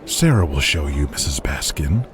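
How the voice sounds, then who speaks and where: A man playing a polite, persuasive wrestling promoter who speaks deliberately, like a salesman, in an audio drama. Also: very deep voice, sing-song, voice pushed down. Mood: courteous. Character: smooth, persuasive male wrestling promoter, courting a star. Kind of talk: audio drama character acting.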